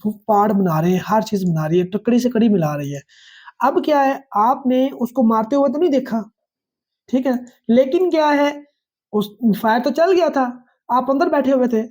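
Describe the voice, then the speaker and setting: A woman's voice: fairly high pitch, mellow. A woman with a Pakistani accent lecturing on law, explaining step by step in a didactic way with repeated phrases and frequent check-ins. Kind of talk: explanatory lecture, reading statute aloud and paraphrasing.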